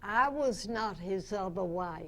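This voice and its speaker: A woman's voice: medium pitch, expressive, unhurried, fairly bright tone. A woman in her nineties, a veteran radio actress, reminiscing as a guest speaker in an anecdotal way. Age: 90s. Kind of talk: unscripted reminiscing to a club audience. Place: club meeting room, in front of an audience.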